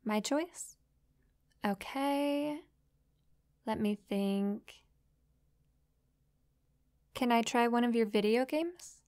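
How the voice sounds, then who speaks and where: A young succubus acted by a woman speaks softly and slowly in an intimate roleplay drama. Her voice is smooth and a little high. She comes across as sweet, curious and playful, as if new to the human world. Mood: curious, eager. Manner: soft, playful, sweetly inquisitive. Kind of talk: intimate roleplay audio drama, addressed to the listener.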